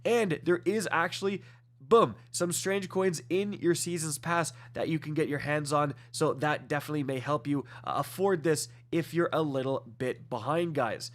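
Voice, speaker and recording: A man with a congested nose giving a casual, enthusiastic review of items in a video game, often calling out to viewers as guys. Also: on the high side. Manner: quick, enthusiastic, fillers like guys and uh. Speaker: gaming video host. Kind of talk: casual gameplay review commentary.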